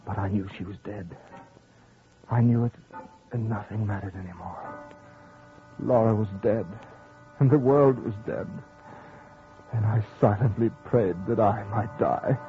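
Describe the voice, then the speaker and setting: Haunted husband, tinny old radio horror. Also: male voice, on the low side, unhurried, pauses often, soft background music. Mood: grief, dread. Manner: dramatic, theatrical delivery. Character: haunted husband narrating his ghostly tale, overwrought and grieving.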